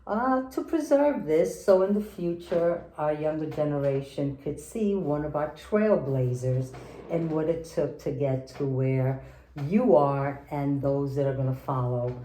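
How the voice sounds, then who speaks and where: A woman's voice: low voice, measured pace, mellow, roomy sound, a little hiss or hum, poor audio. A woman judge hosting a formal interview, gracious and formal with some hesitant fillers.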